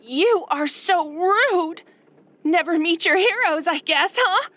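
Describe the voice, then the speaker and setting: A woman acting as an obsessed young female fan turned killer in a horror audio roleplay, soft-spoken, girlish and pouty, with sweet menace. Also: extremely high pitch, expressive, slow pace, no echo, lo-fi sound, exaggerated voice. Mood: pouty, indignant. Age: young adult.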